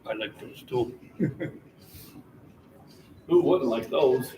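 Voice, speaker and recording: A man's voice: mid-range voice, lively intonation, drawn-out words, mellow, slight background noise. A man in his sixties hosting a casual fitness livestream, chatting with viewers in a friendly, encouraging coach's way. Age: 60s.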